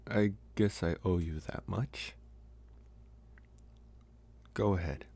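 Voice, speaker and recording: A man playing an awkward young college vampire, possessive yet bashful, speaking haltingly in an intimate ASMR roleplay and trying to seem intimidating. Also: low-pitched, darkish tone, husky, full character voice. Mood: hesitant, candid. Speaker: voice actor in a roleplay. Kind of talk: ASMR roleplay, intimate one-sided dialogue.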